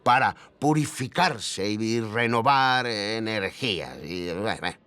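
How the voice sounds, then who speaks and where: A man playing a rambling expert interviewee who explains in a halting, conversational way, often repeating himself. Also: fairly low pitch, bright, forceful tone, clean tone, no room sound. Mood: amused, explaining.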